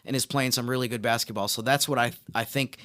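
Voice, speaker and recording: A man co-hosting a sports podcast as a reporter, giving casual analysis in a measured, halting way. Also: mid-range voice, steady tone, sharp tone. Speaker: team reporter and podcast co-host.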